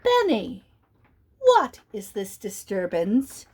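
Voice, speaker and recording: A woman voicing a calm, motherly female guardian angel who speaks gently but firmly. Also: mid-range voice, takes it slowly, husky, pitched below the performer's own voice, full character voice. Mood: stern.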